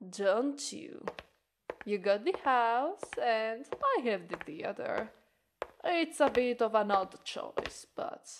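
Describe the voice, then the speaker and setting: A woman voicing a playful, flirtatious witch in an ASMR roleplay, speaking slowly and teasingly, with an Italian native tongue. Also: fairly high pitch. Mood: playfully menacing.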